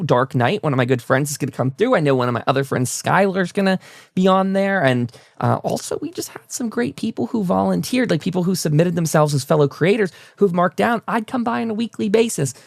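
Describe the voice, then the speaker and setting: A man's voice: on the high side, gravelly, dry recording, studio quality. A man hosting a talk show, chatting in a casual, rambling podcast style as he talks to his audience. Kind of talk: casual podcast outro, plugging websites and upcoming episodes.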